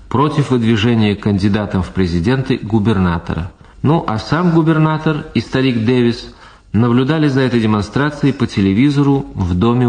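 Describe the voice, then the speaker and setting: A roomy 1970s radio recording of a male narrator. His pitch is average, and he delivers scripted drama narration like a documentary report. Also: darkish tone.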